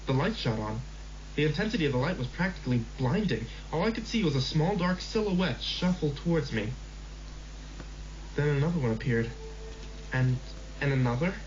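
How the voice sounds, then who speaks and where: A man's voice: a little high, a little mellow, a little hiss or hum. Man narrating a horror story. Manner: measured, slow in tense passages. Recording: slightly dull lo-fi recording with music.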